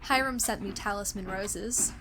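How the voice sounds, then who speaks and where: A woman's voice: mid-range voice, moderate pace, background noise, close to an everyday voice. A woman playing a naive, romantic new bride in old-fashioned radio melodrama, genteel and given to dramatic hesitations. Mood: dreamy, romantic.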